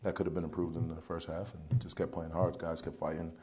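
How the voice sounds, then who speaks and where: Very deep, flat-voiced young man. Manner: halting, many ums and you-knows, low-key. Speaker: football player. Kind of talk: post-game press conference answers. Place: press conference room, indoors. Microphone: podium mic in front.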